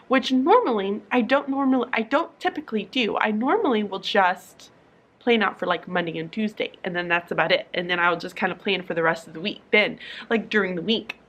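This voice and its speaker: A woman's voice: medium pitch, fairly bright tone. A young woman teacher vlogging from her classroom in a sleepy morning voice, talking casually to camera with lots of fillers and restarts.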